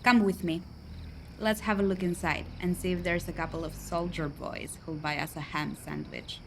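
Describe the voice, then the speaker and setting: A woman's voice: on the low side, steady tone, normal speed, gravelly, noisy background. A woman acting a worldly, chatty, poor young woman in a radio play, confiding and folksy. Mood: breezy, coaxing.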